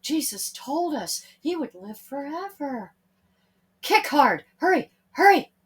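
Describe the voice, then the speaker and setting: Woman voicing an eager, commanding fisherman, pitched up. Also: very animated, slow, exaggerated voice. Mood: eager, urgent. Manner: emphatic, urgent. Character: commanding, eager fisherman in a children's Bible story.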